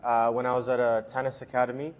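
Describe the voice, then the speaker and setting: A man's voice: mid-range voice, quick pace. Chatty young man, easygoing answers. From Hong Kong. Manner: relaxed, chatty, many fillers and restarts. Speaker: strength and conditioning coach. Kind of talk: casual interview answers.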